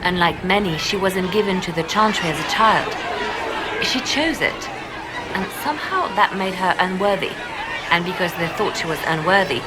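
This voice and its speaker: An actress playing a guarded, resolute spymaster in a fantasy video game, speaking in a measured, serious way. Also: female voice, lowish voice, lively intonation, very noisy, close to an everyday voice. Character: guarded, resolute female spymaster of a holy order. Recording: loud crowd and outdoor ambience behind.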